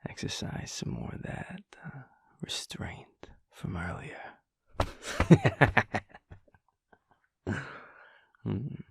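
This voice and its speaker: A man playing a flirty but tender werewolf in an intimate romantic audio roleplay, speaking in a husky, breathy murmur with a halting, teasing manner. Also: mid-range voice, wildly swooping pitch, no echo, in a whisper, studio quality, full character voice. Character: flirty, feisty male werewolf, tender and earnest underneath.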